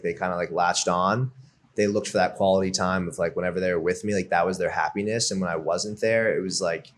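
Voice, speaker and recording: A man's voice: sits low, a little hiss or hum. A young man talking openly as an interview guest, reflective and filling his speech with "like". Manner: open, reflective, peppered with "like". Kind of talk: casual interview chat.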